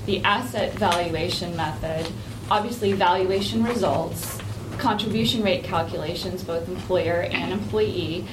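A woman's voice: on the low side, a little slow. A woman giving a formal technical presentation as a guest actuary in a meeting. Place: indoor meeting room. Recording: echoey, noisy room, distant mic.

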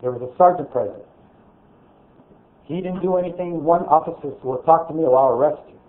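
A man speaking loudly and bluntly during public comment at a board meeting, recounting a grievance. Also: fairly high pitch, fairly quick. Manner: loud, blunt, aggrieved storytelling. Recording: tinny phone-like meeting audio.

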